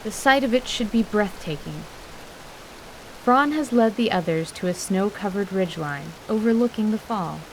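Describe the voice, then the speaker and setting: A woman narrating scripted fantasy in a measured, literary storytelling manner. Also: mid-range voice, lively intonation, a little hiss or hum, rough recording.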